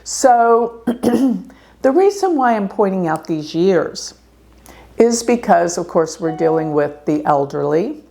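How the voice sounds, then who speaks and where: A woman astrologer giving an earnest, slow warning talk to her viewers, clearing her throat now and then. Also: medium pitch, big pitch swings, mellow. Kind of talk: casual talk to camera.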